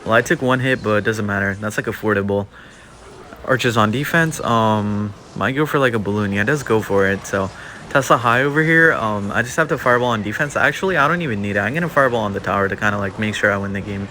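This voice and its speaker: A man's voice: on the low side, quick pace, slight background noise. A young man giving casual play-by-play commentary over his own mobile game match, with lots of filler words. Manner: relaxed, filler-heavy play-by-play with many "like" and "um". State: slightly losing his voice. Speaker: gaming youtuber. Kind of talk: casual live gameplay commentary.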